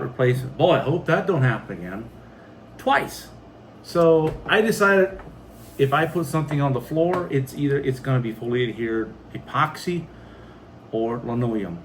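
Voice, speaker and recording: A man's voice: mid-range voice, a little hiss or hum. A man with an American accent chatting casually on a homestead vlog in a plainspoken, folksy way. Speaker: homesteading video host.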